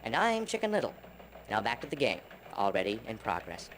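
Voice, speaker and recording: An actor playing an excitable, melodramatic mock news announcer in a video game, giving breathless live commentary. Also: medium pitch, fairly quick, loud music behind the voice. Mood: wry announcer. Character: excitable mock news announcer covering a chicken rocket launch.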